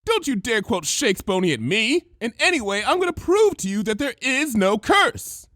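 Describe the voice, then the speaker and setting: Man putting on a prim, high-pitched girlish unicorn. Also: big pitch swings, moderate pace, fairly bright tone, no echo, clean studio sound, exaggerated voice. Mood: indignant, insistent. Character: young female unicorn, bookish, indignant pony, cartoon.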